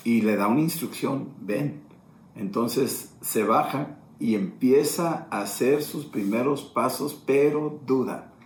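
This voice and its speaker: A man's voice: mid-range voice, takes it slowly. A middle-aged man pastor teaching a sermon, explaining and retelling scripture passages. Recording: echoey room, faint ambience.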